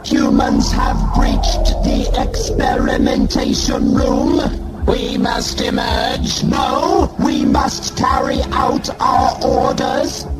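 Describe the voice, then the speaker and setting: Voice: sits high, slow pace, echoey room, loud music behind the voice, rough recording. An actor voicing fanatical alien war machines in an audio drama, with a harsh, grating, staccato delivery through an electronic robot filter. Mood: urgent, commanding. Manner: staccato, droning, shouted delivery. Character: fanatical alien Daleks, harsh armoured war machines arguing over orders. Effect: ring-modulated robotic voice filter. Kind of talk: science fiction audio drama acting.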